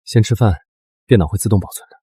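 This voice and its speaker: A man's voice: lowish voice, fast talker, mellow, breathy edge, dry recording, studio quality. A man playing a doting, teasing, protective young suitor in a short drama. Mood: caring.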